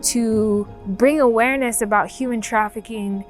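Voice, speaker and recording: A young woman from Cameroon, speaking earnestly and in a measured way as a documentary narrator and filmmaker. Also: fairly high pitch, steady tone, soft background music.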